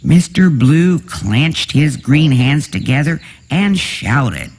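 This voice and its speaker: A man narrating a children's bedtime story from a script in an expressive storybook style. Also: fairly high pitch, takes it slowly, breathy edge.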